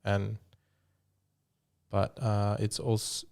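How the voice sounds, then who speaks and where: Flat, gravelly man. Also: on the low side. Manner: reflective, halting, with restarts and "um". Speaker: interviewer. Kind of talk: casual interview chat.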